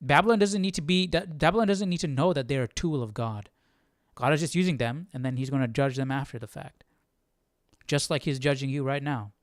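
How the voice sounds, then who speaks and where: A man's voice: mid-range voice, fairly quick, dry recording, clean studio sound. A man hosting a casual Bible study, reading aloud and explaining in an informal way, stumbling and correcting himself. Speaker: Bible study livestream host.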